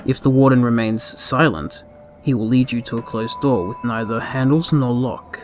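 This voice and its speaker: A man reading a scripted horror story aloud in a deliberate, ominous, dramatic manner. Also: medium pitch, slight background noise. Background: background music.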